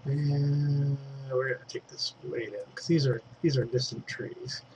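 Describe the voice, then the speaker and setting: A man's voice: mid-range voice, steady tone, a little slow, highs cut off, background noise. A man with a congested throat giving a casual digital painting tutorial, thinking aloud as he works and dropping in fillers. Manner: chatty, thinks aloud while working, trailing fillers.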